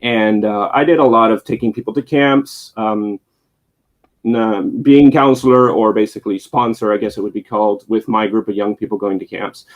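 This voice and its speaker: A man's voice: lowish voice, fairly even intonation, moderate pace, muffled recording. Hesitant young man, many ums. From Spain. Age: young adult. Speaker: missionary guest. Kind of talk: interview conversation.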